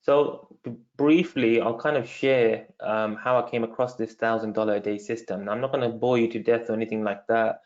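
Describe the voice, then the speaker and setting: A man's voice: medium pitch, fairly even intonation, brisk, darkish tone, lo-fi sound. A man from London with a London accent, hosting a live online marketing training, casual and full of fillers. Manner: casual, many fillers, talks to viewers as guys. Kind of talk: live webinar sales training.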